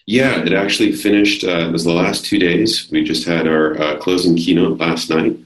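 A man with a deep, slightly worn voice speaks as a podcast guest. He explains technical points in a relaxed way, full of little fillers. The recording carries a roomy echo. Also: moderate pace. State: slightly hoarse. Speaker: guest, developer advocate. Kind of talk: casual technical interview.

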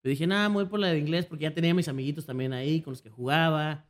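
A man's voice: fairly high pitch, quick pace. A man with a Mexican accent telling stories in a casual podcast chat, slangy and full of fillers. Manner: relaxed slangy storytelling, many fillers. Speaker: guest content creator.

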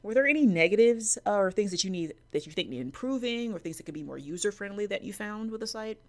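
A woman's voice: mid-range voice, lively intonation, fast talker, no room sound. A woman hosting a casual podcast discussion in an irreverent, crude-humored manner. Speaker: podcast host leading the discussion.

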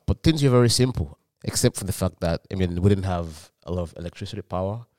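A young man from Nigeria, a student guest on a podcast, answering questions in a halting way and often repeating his words. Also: sits low, a little mellow, no room sound, clean studio sound. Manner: halting, repeats words while searching for them. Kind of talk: casual interview answers.

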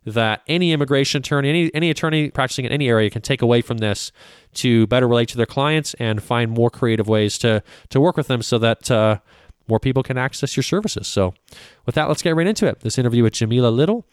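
A man's voice: mid-range voice, fast talker, clear, resonant tone, dry recording, clean studio sound. A man from Canada hosting an interview podcast, friendly and rambling, with many fillers and restarts. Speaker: podcast host.

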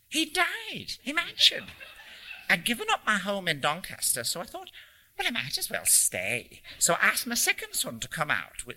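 Man putting on a chirpy North Country old lady, high-pitched. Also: sharp tone, slight background noise, exaggerated voice, low-quality recording. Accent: North Country English. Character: little old English North Country lady, chatty and cheerful. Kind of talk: acted dialogue in a story read aloud.

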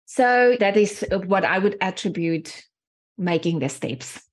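A woman's voice: medium pitch, expressive, takes it slowly. A woman in her forties from South Africa, a native Afrikaans speaker with a South African accent, speaking candidly and thoughtfully as an interview guest. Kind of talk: reflective personal conversation.

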